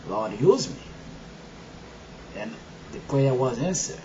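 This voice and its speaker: A man's voice: medium pitch, lively intonation, takes it slowly, a little hiss or hum. Haitian man, rambling, dull lo-fi sound. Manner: rambling, many "you know" fillers, quiet at first and growing loud and emphatic. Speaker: video blogger. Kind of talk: personal testimony to camera.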